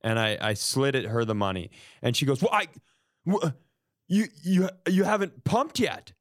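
A man's voice: medium pitch, normal speed, no echo, clean studio sound. A man podcast host telling a casual, comedic story in an exasperated, profane way, acting out the dialogue as he goes. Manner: exasperated, profane, animated retelling with acted-out dialogue.

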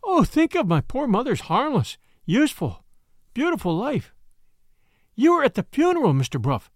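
A man's voice: very animated, fairly quick, vocal fry, no room sound, clean studio sound. A man playing a proud, indignant young lady. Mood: horrified. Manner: high-strung, indignant.

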